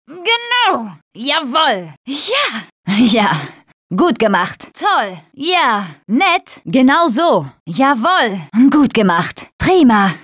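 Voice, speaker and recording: A spirited young female zombie-apocalypse survivor from a video game. Her voice is high and swings widely in pitch. She delivers short, emphatic lines at an unhurried pace, caring about her team. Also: fairly bright tone, no echo, clean studio sound, exaggerated voice. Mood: approving. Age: young adult. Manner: short, emphatic, separate exclamations and phrases.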